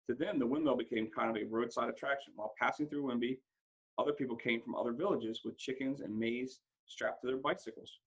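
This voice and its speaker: A man reading a first-person story aloud in an expressive, dramatic way, occasionally stumbling over a word. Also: mid-range voice, fairly quick, husky, muffled recording. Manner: dramatic, acts out dialogue, stumbles over words now and then. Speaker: school principal reading a book aloud.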